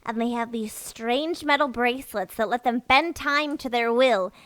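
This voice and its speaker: A woman's voice: on the high side, moderate pace, a bit bright, no echo, lightly acted. A woman acting in an audio drama as an earnest, insistent, easily offended female mole worker. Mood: earnest, conspiratorial. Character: earnest, gullible, indignant young female moleperson worker.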